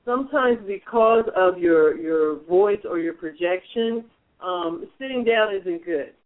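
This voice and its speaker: A man's voice: high-pitched, a little slow. A man hosting a radio advice show, coaching in a strong, projecting voice with frequent restarts. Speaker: radio show host, mentor. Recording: phone-like narrow band.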